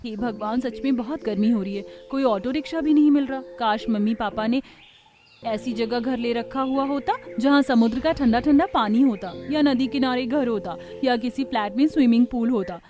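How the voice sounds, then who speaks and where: A woman's voice: fairly high pitch, normal speed, mellow, lo-fi sound, lightly acted. A woman voicing a kind, hardworking young younger sister in a Hindi cartoon story, speaking earnestly and pleadingly. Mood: weary complaining.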